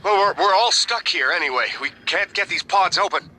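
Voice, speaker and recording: A man's voice: sits high, expressive, a little fast, pushed and bright, a little hiss or hum, heavily acted. A man playing a stressed, stranded space station worker in a video game, sounding anxious and resigned. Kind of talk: dramatic video game acting.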